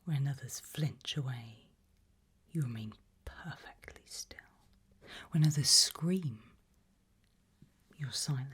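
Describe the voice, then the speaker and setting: A woman whispering an ASMR role-play straight to the listener in a breathy, measured, quietly ominous way. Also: rumbling low. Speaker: ASMR host playing a fear-test examiner.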